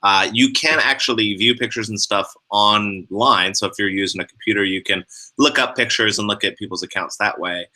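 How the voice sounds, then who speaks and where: Young man co-host, very bright tone. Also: on the low side, normal speed, rough recording. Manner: relaxed explaining, frequent "uh" starts. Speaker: co-host of an online sales training. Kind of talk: casual instructional talk.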